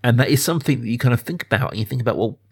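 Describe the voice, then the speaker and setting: A man, perhaps in his thirties, talking through a film casually and earnestly, thinking aloud in a halting way as a podcast host. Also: medium pitch, husky.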